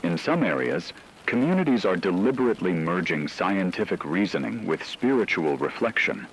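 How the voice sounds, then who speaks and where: A man playing a grave radio news co-anchor giving deliberate, formal scripted commentary during an apocalypse. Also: sits low, expressive, rough recording. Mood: grave, intent. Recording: faint rain noise behind voice.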